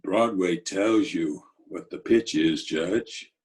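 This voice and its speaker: An actor playing a gruff, commanding gangster boss, blunt and bossy, in old-time radio comedy style. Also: male voice, deep voice. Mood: stern. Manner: blunt, bossy, deliberate slangy talk.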